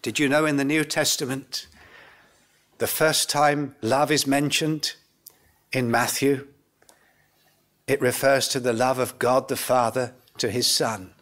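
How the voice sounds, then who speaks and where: An older man preaching a sermon in an earnest, solemn, deliberate way, in short phrases with pauses. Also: medium pitch, little pitch movement, a bit bright. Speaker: pastor. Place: indoors, church pulpit. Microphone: lectern gooseneck microphone.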